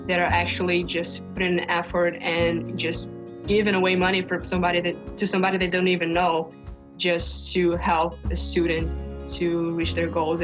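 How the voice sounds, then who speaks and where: A woman student from Brazil speaks sincerely in a low, level voice. Guitar music plays clearly behind her. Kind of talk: grateful testimonial.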